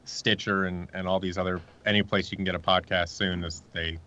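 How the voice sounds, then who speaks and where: A man with a fairly low voice chats casually as an interview guest. The sound is a little dull and lo-fi, like an online call. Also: level tone, brisk, bright, forceful tone. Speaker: interview guest, audio drama producer.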